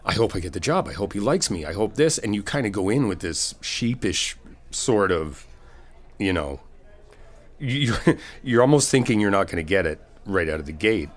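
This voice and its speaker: A man's voice: on the low side, lively intonation, moderate pace. A man playing a supportive, laid-back best friend in a casual comfort roleplay, talking in a reassuring, rambling, informal way. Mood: reassuring, earnest. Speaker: ASMR roleplay performer.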